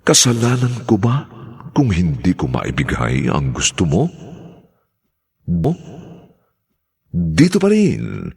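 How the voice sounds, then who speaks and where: A man's voice: sits low, wildly swooping pitch, darkish tone, husky. A man announcing a radio drama in a formal, polite manner and drawing his words out. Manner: slow, polite, drawn-out delivery.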